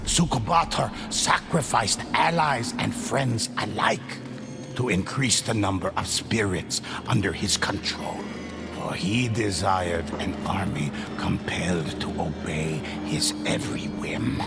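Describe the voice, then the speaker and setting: A man narrating a dark game story in a grave, measured way. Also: low voice, creaky. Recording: music under the voice.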